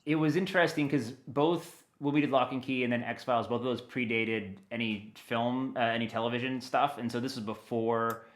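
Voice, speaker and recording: A man speaking as an audio dramatist guest in a conversational, enthusiastic interview, telling things in an animated way. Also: mid-range voice, normal speed, hardly any pauses.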